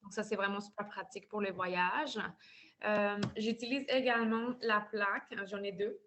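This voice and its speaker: Woman from Mexico, soft-spoken, halting testimonial on a video call. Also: medium pitch, steady tone, a little hiss or hum. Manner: soft-spoken, hesitant, many fillers. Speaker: customer giving a testimonial. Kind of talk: casual personal testimonial.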